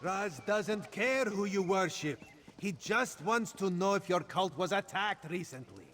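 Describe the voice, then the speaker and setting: A man's voice: sits high, animated, measured pace, a bit bright, a little hiss or hum. A man playing a wily, wry cat-man spy in a fantasy game, speaking theatrically and calling himself by name. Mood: probing. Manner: theatrical, refers to self in third person. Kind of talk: video game character dialogue.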